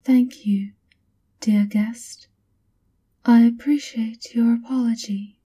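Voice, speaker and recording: Woman acting as a slow, whispery gothic castle maid. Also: fairly high pitch, steady tone. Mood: politely servile. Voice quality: breathy, whispered softness. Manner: formal, measured, polite. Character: soft-spoken, subservient, coolly formal female maid of a vampire countess. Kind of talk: ASMR roleplay, scripted and whispery.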